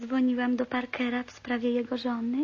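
A seductive, calculating nurse-mistress from a radio play. Her high voice is breathy and coaxing, with a ruthless edge beneath it. The recording sounds dull and muffled. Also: completely flat delivery, a little fast, a little mellow. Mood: gloating, calculating. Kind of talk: radio drama acting.

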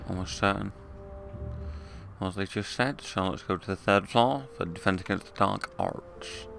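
Hoarse low-voiced young man. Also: normal speed, a bit bright, faint music behind. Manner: chatty, swearing, wry. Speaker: gaming YouTuber. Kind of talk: casual let's play commentary.

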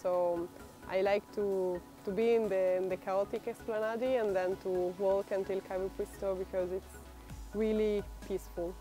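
A woman's voice: medium pitch, fairly even intonation, normal speed, warm tone, faint music behind. Young italian woman chatting in a park, lots of uhs, lapel mic. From Italy. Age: young adult. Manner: hesitant, many fillers, laughs while talking. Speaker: exchange student. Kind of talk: documentary interview. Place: outdoor park, sitting under a tree.

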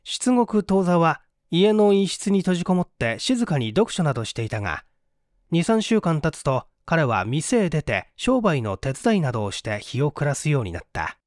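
Guy reading a mystery story dramatically, fairly high voice, very dry close sound. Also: sing-song, moderate pace, no echo. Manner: formal, theatrical, suspenseful. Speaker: narrator.

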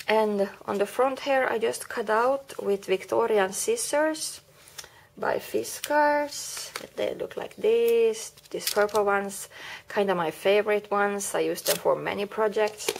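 Woman with a cold showing how she made a card, gravelly froggy voice, chatty and explains every step. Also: mid-range voice, slow. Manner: chatty, explains step by step with small self-corrections. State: has a cold, rusty voice. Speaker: card-making tutorial host. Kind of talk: casual craft tutorial. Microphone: camera mic over a craft table, hands only.

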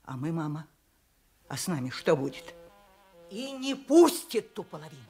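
A woman's voice: low-pitched, big pitch swings, moderate pace, mellow. A woman playing an opinionated, sympathetic peasant villager in a Russian radio drama, speaking emphatically and in a folksy way. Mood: insistent.

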